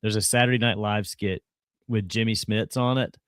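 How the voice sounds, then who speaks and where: Southern co-host from South Carolina, animated and emphatic, dry close sound. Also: male voice, fairly low pitch, moderate pace, smooth, no room sound. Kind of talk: casual sports chat.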